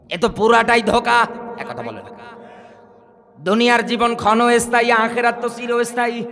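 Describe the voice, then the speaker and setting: A Bangladeshi man delivers an impassioned Islamic sermon in a high-pitched voice. He speaks as an orator, stacking repeated phrases and rhetorical questions and calling on listeners to answer. His words carry a long reverb, as if amplified in a large hall. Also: fairly bright tone. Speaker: Islamic preacher. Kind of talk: impassioned religious sermon to a gathering.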